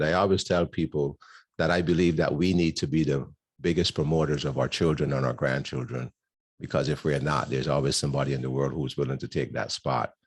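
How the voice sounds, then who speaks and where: An older man from Barbados, a guest speaker telling his life story in a rambling, storytelling way with many pauses. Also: a bass voice. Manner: warm storytelling with frequent ums and laughs. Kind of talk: personal testimony talk.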